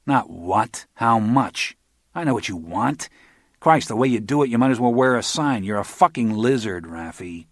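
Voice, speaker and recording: A man's voice: medium pitch, lively intonation, normal speed, dry recording, clean studio sound. A man voicing a tough, short-tempered middle-aged man who talks bluntly and barks orders. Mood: contemptuous. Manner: gruff, blunt, shouting.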